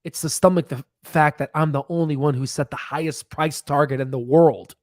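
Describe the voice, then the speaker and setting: Hoarse, emphatic man on a stock stream. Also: a little high, normal speed, no room sound, clean studio sound. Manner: animated, emphatic, repeats phrases, drops to whispers. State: slightly hoarse. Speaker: stock channel host. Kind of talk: livestream stock analysis. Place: home studio room, indoors. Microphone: podcast mic on boom, close.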